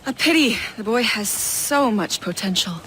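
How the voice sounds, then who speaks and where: A woman's voice: fairly high pitch, animated, moderate pace, fairly bright tone, slight background noise, low-quality recording. An actress playing a stern, disdainful female assassin instructor with a dry, cutting manner in a video game. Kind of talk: video game cutscene acting.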